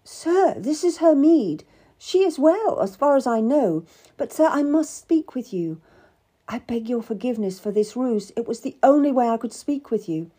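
A woman's voice: a little high, animated, moderate pace, dark tone, slightly rough, voice pushed up. A woman voicing an earnest Tudor servant woman, urgent and beseeching, in a dramatised audiobook. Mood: pleading, respectful.

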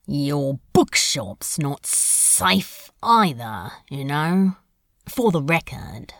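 A woman's voice: low voice, sing-song, no echo, studio quality, full character voice. A woman playing a sardonic, secretly tender male demon in an audio fan fiction drama, drawling and teasing. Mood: stubborn, needling. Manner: drawling, teasing, drawn-out enunciation.

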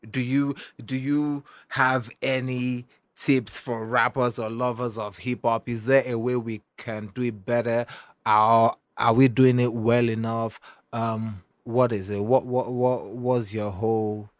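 A Nigerian man interviewing a guest, asking loose questions in a relaxed way and stumbling over his words. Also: mid-range voice, measured pace, no echo.

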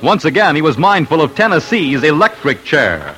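A man's voice: fairly high pitch, sing-song, normal speed, over music. A man narrating an old-time radio crime documentary in a dramatic 1940s style.

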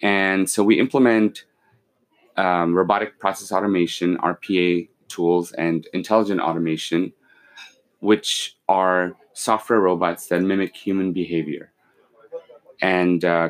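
A man in his forties, born in Pakistan and raised in London and Kuwait, calmly explaining his company as a podcast guest, with many fillers and restarts. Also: low voice, a little slow. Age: 40s. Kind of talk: casual interview, explaining business.

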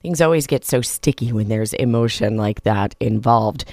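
A woman hosting a local radio talk show, briskly wrapping up a segment before the break. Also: a bass voice, darkish tone. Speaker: radio host.

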